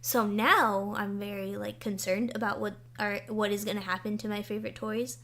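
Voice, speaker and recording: Excitable gushing young woman podcaster. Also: mid-range voice. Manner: excitable and gushing, quick to say she will cry. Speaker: podcast co-host. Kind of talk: casual chat.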